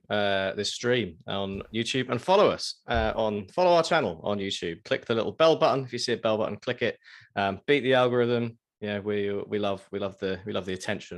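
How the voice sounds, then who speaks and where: A man co-hosting a podcast in casual chat, relaxed, with dry joking asides. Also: fairly low pitch. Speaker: podcast co-host.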